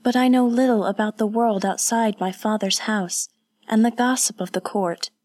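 A woman voicing a sheltered, curious young noblewoman who speaks brightly and eagerly. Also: mid-range voice, steady tone, moderate pace, no room sound, voice pushed up, lightly acted.

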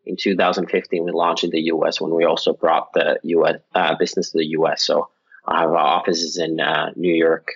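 A man's voice: extremely low pitch, flat delivery, fairly quick, husky, highs cut off. A man from Finland, a company founder speaking as a guest in a casual interview. He rambles a little, with many fillers and restarts. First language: Finnish.

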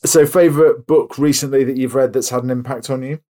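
A man's voice: mid-range voice, steady tone, fairly quick, dark tone. A man hosting a podcast interview in a casual, chatty, conversational way. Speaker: podcast host.

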